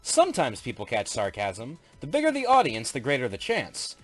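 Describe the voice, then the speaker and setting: Lively, matter-of-fact male commentator. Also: mid-range voice, moderate pace, soft background music. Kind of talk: casual spoken commentary.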